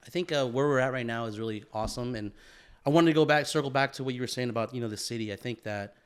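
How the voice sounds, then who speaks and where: A man's voice: mid-range voice, very fast, clear, resonant tone. A man co-hosting a podcast, chatting casually, swearing and calling people bro and dude. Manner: laid-back, calls people bro and dude, swears often. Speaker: podcast co-host. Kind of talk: casual chat.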